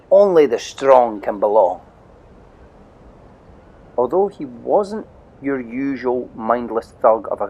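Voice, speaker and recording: A man narrating a children's storybook audio play in a measured, expressive way. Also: mid-range voice, vocal fry, slight background noise, low-quality recording.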